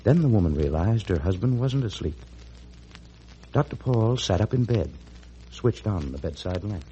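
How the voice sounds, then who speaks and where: A man's voice: on the low side, animated, moderate pace, warm tone, dull audio, slight background noise. An American man reading scripted announcements and narration in the style of 1940s radio. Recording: old 1940s radio recording.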